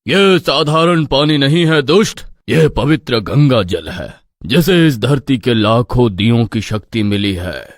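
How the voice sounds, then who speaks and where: A man's voice: medium pitch, expressive, unhurried, no echo, studio quality, close to an everyday voice. A man playing a stern, righteous village priest in a moral tale, solemn and commanding. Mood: stern, defiant. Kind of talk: dramatic moral-tale voice acting.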